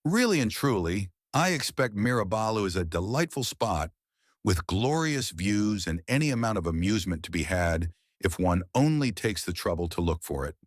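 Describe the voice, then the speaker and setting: A man's voice: lowish voice, big pitch swings, normal speed, no echo, studio quality. A man voicing a cheerful, spirited young English society woman from a pulp story, chatty and teasing in manner. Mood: defiant, cheerful.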